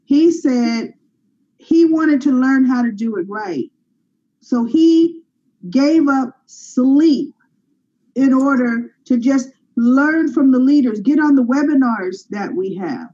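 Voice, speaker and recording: A woman co-host makes emphatic points in a mellow, fairly high voice. Her audio comes through a dull, lo-fi connection. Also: expressive, slow. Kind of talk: casual interview chat.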